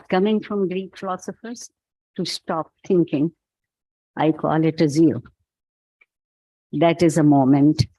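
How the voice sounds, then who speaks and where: A man with a Pakistani accent delivers a philosophical keynote at an unhurried, deliberate pace, pausing and restarting as he thinks aloud. His voice is soft and mellow. The sound is close and dry, with no room echo. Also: fairly high pitch. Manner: slow, measured, philosophical, halting. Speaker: keynote speaker. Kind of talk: reflective keynote talk. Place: event hall.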